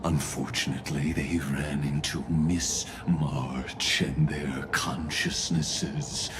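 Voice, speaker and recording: Very deep, slow villain genius. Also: male voice, fairly even intonation, background noise. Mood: composed. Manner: formal, measured, courteous, ominous. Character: cold, courtly male genius villain, cryptic schemer. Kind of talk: scripted video game dialogue.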